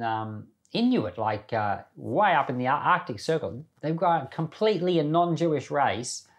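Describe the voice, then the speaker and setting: Conversational man giving informal Bible teaching. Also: medium pitch. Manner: conversational, poses questions to listeners. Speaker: Bible teacher and podcast host.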